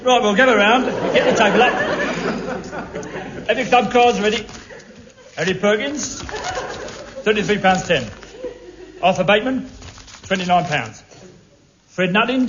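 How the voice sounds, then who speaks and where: A chirpy British pub club treasurer. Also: male voice, sits high, expressive, a little slow, pauses often, a crowd in the background. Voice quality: chirpy, teasing. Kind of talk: 1950s radio sitcom acting. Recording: dull 1950s radio broadcast, audible hiss.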